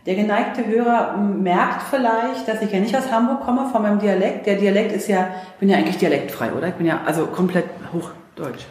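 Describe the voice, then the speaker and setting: A woman's voice: mid-range voice, brisk, few pauses, darkish tone, reverberant. A woman from East Germany hosting a casual interview, with a teasing, playful way of talking. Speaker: podcast host.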